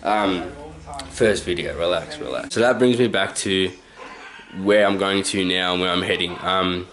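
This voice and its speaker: Aussie guy in his 20s chatting away to his camcorder at home, kinda low voice, keeps correcting himself and chuckling. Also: a little slow, a bit bright. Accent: Australian. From Australia. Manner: rambling, self-correcting, chuckles often. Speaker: vlogger. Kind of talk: casual story-time monologue. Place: his own house, indoors. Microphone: handheld camcorder.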